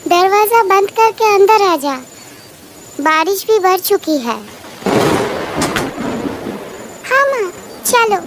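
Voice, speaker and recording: A man voicing a village mother and her young daughters in a shrill, childlike cartoon story voice. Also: expressive, slow, full character voice. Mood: motherly, eager. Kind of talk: cartoon horror story dialogue. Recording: clear background noise behind.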